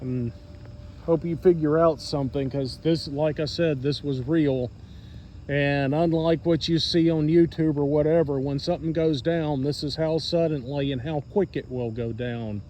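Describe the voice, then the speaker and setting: American male storyteller, voice a bit high, blunt and informal delivery, light background noise. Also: steady tone, normal speed, darkish tone. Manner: blunt, sarcastic, plain-spoken. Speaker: storyteller recounting own experience to followers. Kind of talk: casual spoken storytelling.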